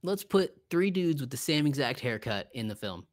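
A man's voice: mid-range voice, big pitch swings, moderate pace. Informal, sardonic man with a mid-range voice. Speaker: podcast co-host. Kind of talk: casual film discussion.

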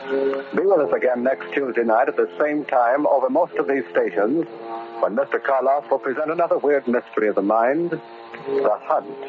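Forties radio announcer man over loud music. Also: medium pitch, normal speed. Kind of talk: 1940s radio announcing, scripted. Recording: narrow-band old radio recording.

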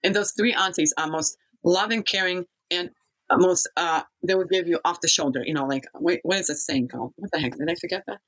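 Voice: mid-range voice, brisk, a bit bright, rough recording. A person from Kazakhstan telling a life story in a casual interview, rambling and often losing the thread.

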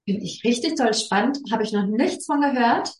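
A woman's voice: on the high side, animated. Woman, podcast host, eager, stumbling restarts, roomy echo. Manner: enthusiastic, halting, with many restarts. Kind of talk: casual podcast conversation.

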